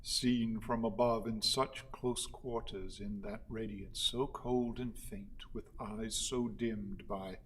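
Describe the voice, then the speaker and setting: An actor playing a solemn poet in a radio play, delivering melancholy verse in halting, drawn-out, half-sung phrases. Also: male voice, on the low side, fairly even intonation, a little hiss or hum, low-quality recording. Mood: hushed, sombre. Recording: music mixed with the voice. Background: musical instrument.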